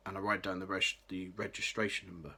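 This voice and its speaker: Low-voiced young man, bright tone. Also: level tone, fast, slightly rough. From Birmingham, England. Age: late 20s. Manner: careful, read-aloud delivery with occasional restarts. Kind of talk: scripted personal vlog.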